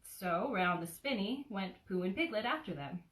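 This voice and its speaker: A woman with a lively, swinging pitch presents and reads a children's bedtime story. Her style is informal storytelling, heard in an echoey indoor room a little way from the microphone. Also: moderate pace, distant mic. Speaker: bookseller reading a bedtime story. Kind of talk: casual introduction and storybook narration. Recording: roomy, a little off the mic.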